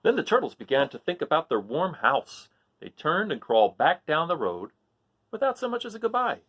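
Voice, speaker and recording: A man reading a picture book aloud to children, pausing to count along and quiz his young listeners. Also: on the high side, very animated, a bit bright.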